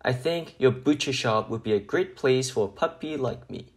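A man voicing an eager, hopeful homeless puppy in a children's picture book, pleading and expressive. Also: mid-range voice, moderate pace, close to an everyday voice.

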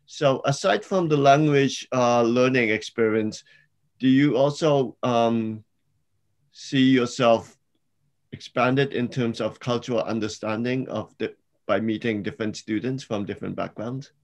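A middle-aged man hosting an online panel, asking questions in a measured way with many fillers. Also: medium pitch. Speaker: dean hosting an info session. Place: indoors, home video call. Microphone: webcam mic on a video call.

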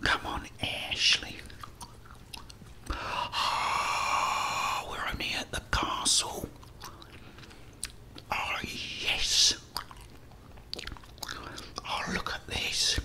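A middle-aged British man whispering a hushed ASMR commentary as he plays a video game. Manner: hushed, slow running commentary.

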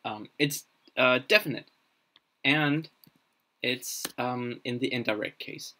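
A young man whose first language is Danish, thinking aloud on a casual live stream, halting with many fillers and restarts, recorded in a small room. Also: medium pitch, pushed and bright. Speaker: streamer building a constructed language.

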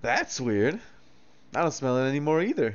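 Man playing a cocky, animated little cartoon hero. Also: mid-range voice, normal speed, dull audio, heavy background noise, natural voice. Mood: cocky, confident.

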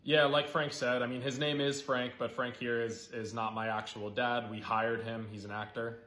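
A man giving a casual announcement to camera in a halting way, full of fillers. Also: medium pitch, little pitch movement, pushed and bright, roomy sound. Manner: hesitant, filler-heavy. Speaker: video creator.

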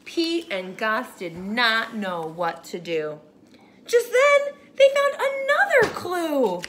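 Very animated, high-voiced woman reading to children. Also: slow. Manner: expressive, exaggerated gasps and hushed asides. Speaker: teacher reading aloud to children. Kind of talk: animated children's picture-book read-aloud. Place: home kitchen.

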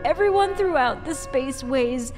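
Plaintive young girl, acted by a woman, very high voice, reverberant, for a comic book drama. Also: expressive, moderate pace. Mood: hesitant, pleading. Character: frightened, earnest young girl pleading for help. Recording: reverberant, with music behind.

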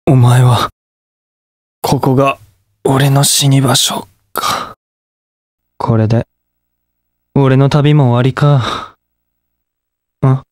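A man's voice: mid-range voice, level tone, extremely slow, pauses often, no room sound. A young man voicing a cocky, aloof masked rider hero in a video game, with a cool, dry, self-assured delivery. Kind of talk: game voice lines.

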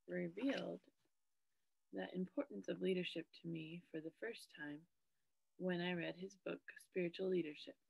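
A woman in her thirties reading a book aloud to a study group, her voice scratchy in the morning, clearing her throat now and then. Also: lowish voice, no room sound. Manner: steady read-aloud pace, frequent throat clearing. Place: small bedroom indoors. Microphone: webcam at desk.

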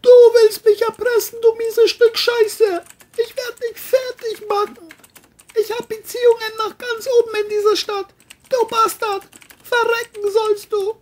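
High-pitched, volatile femme fatale. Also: female voice, level tone, unhurried, a little mellow. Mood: furious, threatening. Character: seductive, volatile, menacing woman in crime thriller.

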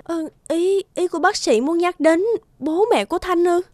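A woman playing a caring, earnest young woman in an animated drama, telling things gently. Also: extremely high pitch, normal speed, soft background music. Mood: worried.

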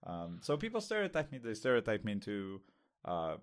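An Israeli-accented man with a fairly low voice, chatting candidly as a podcast co-host. He thinks aloud, pausing and filling gaps with ums and uhs. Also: huge pitch swings. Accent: Israeli, lighter than most. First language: Hebrew. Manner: candid, thinks aloud with many pauses and fillers. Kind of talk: casual conversation.

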